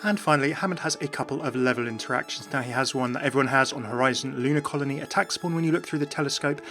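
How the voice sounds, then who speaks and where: Man, gaming channel host, enthusiast commentary, brisk pace, mid-pitched, background music. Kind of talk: enthusiast video commentary, presenting game voice clips.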